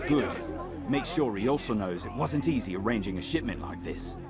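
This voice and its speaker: A man playing a stern, ominous client in a video game, speaking deliberately and with command. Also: mid-range voice, lively intonation, over loud music, natural voice. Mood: ominous, dismissive. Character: stern, ominous male client quoting scripture at a smuggler. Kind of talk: serious video game dialogue.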